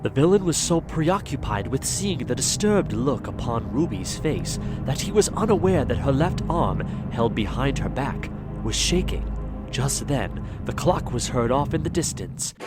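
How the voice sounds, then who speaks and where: A man's voice: mid-range voice, sing-song, a little slow, loud music behind the voice. A man narrating an audio drama in a dramatic, scripted storytelling style.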